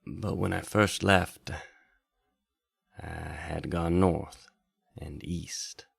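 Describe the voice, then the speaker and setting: A man playing a reflective wandering bayou bard who hosts a late-night radio drama, telling stories in a measured, wistful way with pauses. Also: no echo, heavily acted. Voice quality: deep, gravelly, husky. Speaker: radio drama host and storyteller. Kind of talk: slow intimate late-night radio storytelling.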